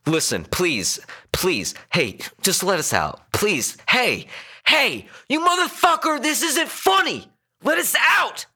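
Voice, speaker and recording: A man voicing an angry, foul-mouthed male car passenger in an audiobook story, shouting and pleading in exasperation. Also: high voice, wildly swooping pitch, slow pace, slightly rough, pitched above the performer's own voice, exaggerated voice. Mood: desperate pleading.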